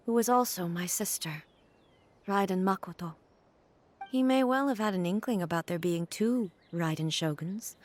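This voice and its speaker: A woman voicing a composed, formal female ruler in a game, speaking calmly and with measure. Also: mid-range voice, natural voice. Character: regal, composed female ruler, calm and solemn.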